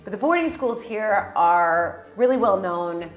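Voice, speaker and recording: A woman with an American accent in her forties talks casually to camera as host. She is chatty and quick to laugh, with plenty of ums. Her voice carries a roomy echo from a large kitchen. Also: mid-range voice, expressive, soft background music. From United States. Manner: chatty, laughing, many fillers. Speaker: channel host. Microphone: lapel mic.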